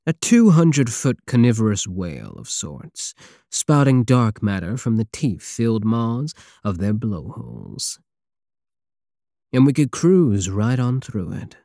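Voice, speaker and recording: A man's voice: on the low side, big pitch swings, takes it slowly, pauses often, warm tone, no room sound, studio quality, full character voice. A man playing a swaggering, obsessive space pirate king in an intimate ASMR roleplay, flirtatious and menacing with a teasing, theatrical drawl. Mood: menacing, seductive. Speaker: audio roleplay voice actor.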